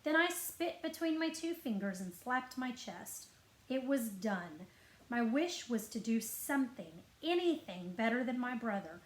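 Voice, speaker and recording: A woman reading a children's story aloud as a librarian would, expressive and deliberate, drawing out key words. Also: on the high side. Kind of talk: expressive scripted story narration. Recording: roomy, echoing indoor sound.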